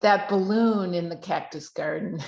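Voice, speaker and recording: Unhurried low-voiced woman host in echoey room. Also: vocal fry, a little mellow. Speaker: host of a poetry reading. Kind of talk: informal event introduction.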